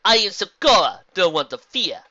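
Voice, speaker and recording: A woman voicing a wise, calm zebra sorceress who speaks in deliberate, formal rhymed phrases. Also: mid-range voice, no echo, muffled recording, voice pushed up. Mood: reassuring.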